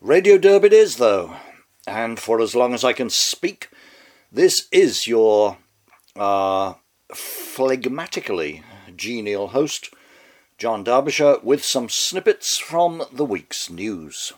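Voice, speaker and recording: A man's voice: medium pitch, very animated, takes it slowly. A man hosting a spoken commentary show with a heavy head cold, close to losing his voice, reading a scripted monologue in a dry, wry, mannered way. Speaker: podcast host.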